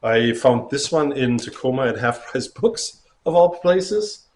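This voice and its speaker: A middle-aged man talking casually to camera as a record collector showing his finds, in a halting, rambling way. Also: mid-range voice, expressive, a little mellow, a little hiss or hum. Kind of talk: casual show-and-tell vlog to camera.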